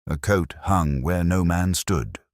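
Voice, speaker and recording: A man voicing a calm, commanding Victorian detective in an audiobook story, speaking in measured, terse aphorisms. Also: deep voice, no echo, studio quality. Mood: calm, intent.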